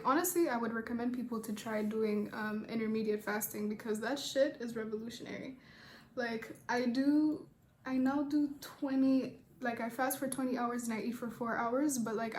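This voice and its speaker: Young woman, from Rwanda, casual makeup Q&A, rambling, swears offhand, higher voice, echoey room. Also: slight background noise. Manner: laid-back, rambling, swears casually, many fillers. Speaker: beauty vlogger. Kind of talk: casual get-ready-with-me makeup chat and Q&A.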